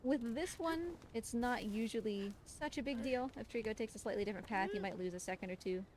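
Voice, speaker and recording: A woman's voice: on the high side, brisk. A woman giving casual, chatty and explanatory live commentary as she plays a video game on a stream. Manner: chatty, explanatory, talks to the game creature and viewers. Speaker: speedrunner and livestream host. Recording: clearly noisy, game sound behind.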